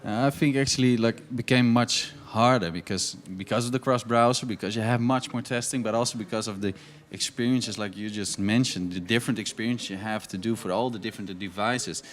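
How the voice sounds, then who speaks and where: A man with a fairly low voice answers a question on a conference panel. He is measured and lays out his reasons one at a time. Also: fairly even intonation. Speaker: panelist, agency co-founder.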